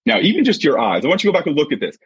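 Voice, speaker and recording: A husky-voiced man giving an emphatic, conversational motivational talk as a coach and podcast guest, often checking in with short rhetorical questions. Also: fairly high pitch, wildly swooping pitch, rapid-fire, dry recording. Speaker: podcast guest, sports mindset coach and author. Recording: dull, muffled lo-fi audio.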